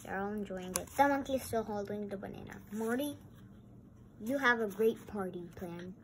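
A woman reading a picture book aloud and adding chatty, exclamatory comments in a halting way. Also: mid-range voice. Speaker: read-aloud host. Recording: noisy amateur home recording.

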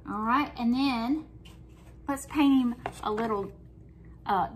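A woman's voice: fairly high pitch, slow, slight background noise. A middle-aged woman with a Southern US accent, chatting folksily through a painting tutorial at her kitchen table. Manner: chatty, folksy, talks while working. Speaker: craft painting host. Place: home kitchen table, indoors.

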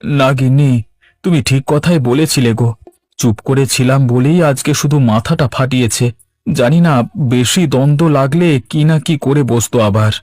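A man playing a pious, long-suffering fisherman in a cartoon, measured and resigned. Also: medium pitch, darkish tone, no room sound, close to an everyday voice. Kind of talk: Bengali cartoon dub.

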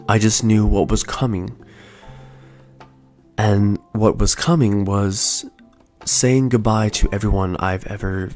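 A young man in his twenties hosting a podcast, telling his own story in a soft, slow, soothing way. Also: lowish voice. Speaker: podcast host. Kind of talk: calm reflective storytelling. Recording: faint lo-fi music bed. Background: soft lo-fi music.

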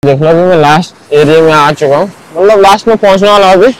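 A man's voice: high voice, fast, a little hiss or hum, rough recording. A young man narrating a casual walking tour of a beach at sunrise, chatty, with lots of filler words. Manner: chatty, keeps saying "bhai" and "matlab". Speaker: vlogger.

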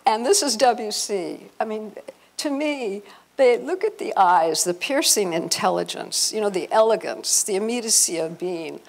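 An older woman presenting an informal family-history slide talk, halting and often restarting her phrases. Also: mid-range voice, expressive, vocal fry. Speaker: presenter, speaker at a convention. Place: hotel conference room, before a seated audience.

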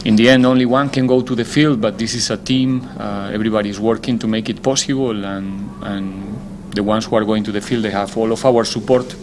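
A man, a football head coach, answering press conference questions in a measured, deliberate way, often pausing to find his words. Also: fairly low pitch, steady tone, noisy background.

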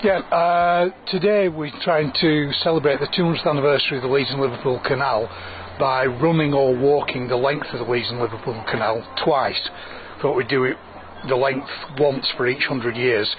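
A man's voice: medium pitch, steady tone, moderate pace. A man, an event organiser talking informally in an outdoor interview. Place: outdoors under canopy by running track. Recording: thin phone-like sound, crowd chatter behind.

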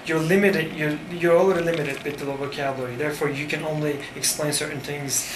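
Turkish-accented man, halting and thoughtful. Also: on the high side, steady tone. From Turkey. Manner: thoughtful, halting with many fillers. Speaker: design firm founder. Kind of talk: casual interview. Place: cafe-like room with framed wall, indoors. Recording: echoey room with background noise.

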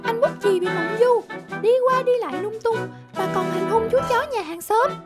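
A timid little cartoon child with an extremely high, squeaky voice. The delivery is earnest and childlike. Loud music plays behind. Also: female voice, normal speed. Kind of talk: animated cartoon acting.

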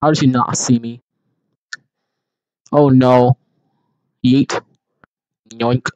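A man's voice: mid-range voice, fairly even intonation, drawn-out words, a little mellow, dry recording, lo-fi sound. A man casually doing an amateur fan dub of an animation, rambling and unserious, with half-hearted, silly ad-libs. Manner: rambling, silly, unserious ad-libbing with mock alarm. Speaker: amateur voice actor.

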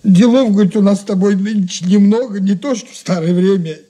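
An actor playing a humble, folksy shop servant who rambles deferentially in a radio drama. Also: male voice, sits high, a little fast, warm tone. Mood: chatty, folksy. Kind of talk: 1980s Soviet radio drama acting.

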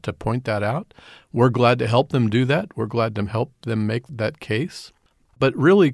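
Earnest man with a gravelly voice. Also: mid-range voice, dry recording, studio quality. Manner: earnest, repeats and restarts words often. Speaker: community development director, guest. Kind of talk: radio interview answers.

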